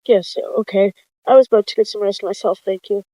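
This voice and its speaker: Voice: mid-range voice, steady tone, fairly quick, warm tone, no room sound, close to an everyday voice, rough recording. Narrator voicing a hesitant, tired she-cat leader.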